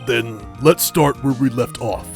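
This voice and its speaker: A man's voice: medium pitch, a little slow, creaky, faint music behind, pitched below the performer's own voice. Man playing a ponderous old courtroom judge. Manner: formal, hesitant. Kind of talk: amateur video game dub.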